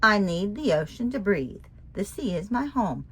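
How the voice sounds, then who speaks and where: A woman voicing a small, sweet male starfish, speaking in a high, pleading little voice. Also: very animated, moderate pace, husky.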